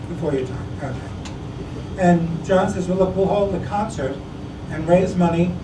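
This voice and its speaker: A man, a musician guest, telling casual anecdotes in a halting, wry way. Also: sits high. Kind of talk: casual anecdotal interview chat. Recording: noisy, echoey room, distant mic.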